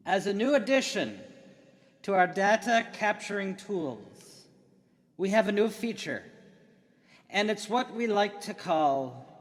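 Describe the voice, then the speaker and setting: Guy acting as hyped up future tech keynote speaker, radio drama, slow dramatic delivery, big hall echo. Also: high-pitched, a bit bright, heavily acted. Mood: thrilled, proud. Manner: theatrical, emphatic, with dramatic pauses. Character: excited, showy male tech keynote presenter from the future. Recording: long hall reverb.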